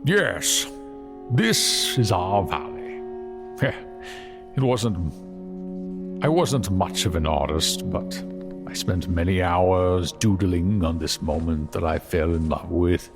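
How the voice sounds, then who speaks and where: A wise old dragon in a fantasy audio drama. He is gentle and measured, with a deep, creaky voice that wanders fondly through memories over a music bed. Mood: fondly nostalgic. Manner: measured, halting, fond. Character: wise old male gold dragon mentor, gentle, reminiscing.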